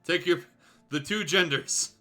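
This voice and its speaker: A man's voice: on the high side, measured pace, pushed and bright, faint music behind. A man hosting a show, reading fan fiction aloud casually and comically, with playful, sardonic asides.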